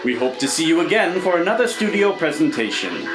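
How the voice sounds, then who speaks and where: A man's voice: on the high side, big pitch swings, brisk, over music. A man announcing for a live radio theatre in a scripted presenter's style. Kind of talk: scripted radio show sign-off. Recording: roomy live stage recording.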